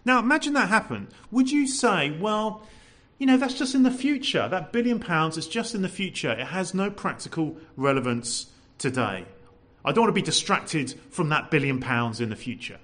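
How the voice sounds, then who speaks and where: Man, preacher, expressive and loud, rises and falls a lot. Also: a little high, normal speed, few pauses. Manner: lively, animated preaching with rhetorical questions; says he has a loud voice. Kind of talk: sermon.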